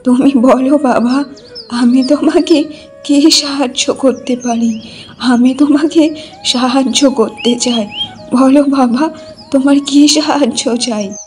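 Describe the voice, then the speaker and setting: A woman voicing a frail, kindly hundred-year-old beggar woman in a cartoon folk tale, with pleading, drawn-out phrases. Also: sits high, steady tone, a little mellow, soft background music. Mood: grateful, kindly.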